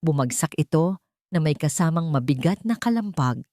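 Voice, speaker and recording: A woman narrates a dramatic story in the first person. Her voice sits a little low, and the delivery is scripted. The sound is dry, with no room echo. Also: lively intonation, moderate pace, mellow.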